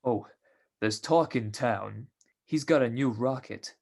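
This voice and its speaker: An actor playing a greedy, none-too-bright small-time tough guy in an old-time radio crime drama, talking in streetwise slang. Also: medium pitch, creaky. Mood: boastful. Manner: drawn-out streetwise gangster slang.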